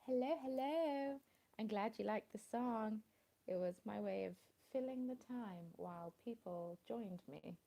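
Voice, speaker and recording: Woman, mellow gravelly voice, rambling live stream chat at home, phone mic. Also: medium pitch, unhurried. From England. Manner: rambling, chatty, greeting viewers by name. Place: at home in the evening, dim light. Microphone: phone with a small plug-in mic.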